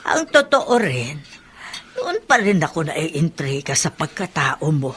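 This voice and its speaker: A woman's voice: sits low, big pitch swings, a little slow, a bit bright, exaggerated voice. An actress playing a kindly, hospitable old grandmother in a Filipino radio drama, with a Filipino accent. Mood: reassuring, candid. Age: older. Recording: faint noise behind the voice.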